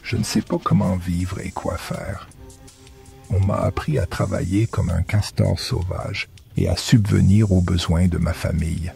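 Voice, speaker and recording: A man plays a weary old man in an animated film, lost and asking what life is for. His voice is low and deeply gravelly. He talks at a slow, heavy pace over soft music. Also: exaggerated voice. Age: older. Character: weary old man questioning life's purpose.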